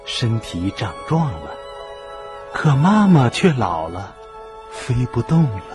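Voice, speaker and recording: A man narrating a children's radio drama in an expressive, scripted storytelling style. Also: mid-range voice, takes it slowly, music in the background.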